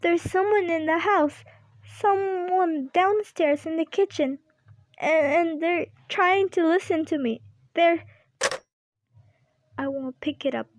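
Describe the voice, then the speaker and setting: Young woman acting a high-pitched, panicky invalid wife. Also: slow, pauses often, dry recording. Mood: terrified, pleading. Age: young adult. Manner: agitated, stammering, imperious. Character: nervous, demanding, panicky invalid wife home alone. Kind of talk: amateur radio play acting.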